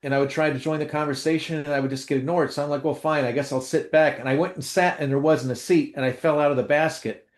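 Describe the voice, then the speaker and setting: A man's voice: on the high side, steady tone, quick pace, few pauses, reverberant. A man co-hosting a casual chat, telling rambling, self-deprecating stories with lots of restarts. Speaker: co-host.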